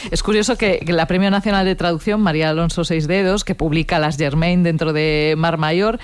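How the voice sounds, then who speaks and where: A woman's voice: lowish voice, steady tone, brisk, clean tone. A woman interviewing guests, asking long, winding questions with many hesitations.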